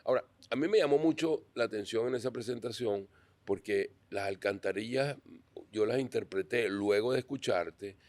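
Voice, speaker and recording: Low-voiced man hosting. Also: moderate pace, darkish tone, no echo. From Venezuela. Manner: earnest, hesitant restarts. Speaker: podcast host. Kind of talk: interview questions.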